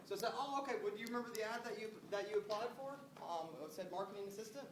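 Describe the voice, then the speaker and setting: Fast, high-pitched man in a noisy room. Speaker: recruiting trainer making phone screening calls. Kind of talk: casual sales-style phone script and coaching. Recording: roomy, distant mic, noisy background.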